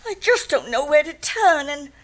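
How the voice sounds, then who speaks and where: A woman's voice: high-pitched, very animated, moderate pace. An actress playing an anxious, flustered wife in a radio detective drama, hesitant and stammering as she pleads for help. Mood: desperate, pleading. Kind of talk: old-time radio detective drama acting.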